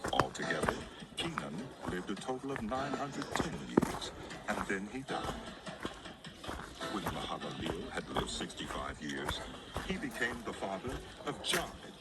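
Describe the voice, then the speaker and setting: Male narrator, low-pitched, solemn, measured, scripted Bible storytelling, strong musical backing. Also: very animated. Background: prominent music.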